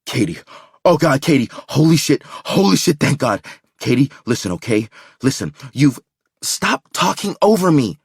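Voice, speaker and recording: A man voicing a desperate man who pleads and shouts in panic, urgent and strained. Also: mid-range voice, sing-song, moderate pace, gravelly, slightly rough, no echo, clean studio sound, pitched above the performer's own voice, heavily acted. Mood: panicked.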